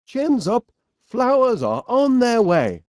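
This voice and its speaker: A middle-aged, eccentric inventor from a cartoon game with a Northern English accent. His delivery swoops up and down at an unhurried pace. He is chirpy and quaintly polite, with a bit of dithering, recorded close and dry. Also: very high-pitched, wildly swooping pitch, darkish tone, dry recording, exaggerated voice. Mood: proud, chirpy. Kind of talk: cartoon video game voice acting.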